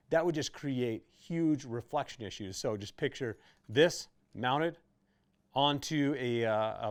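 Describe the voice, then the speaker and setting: A man hosting an informal how-to video, explaining products in a conversational, instructional way. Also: medium pitch. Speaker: host of a radio gear how-to video.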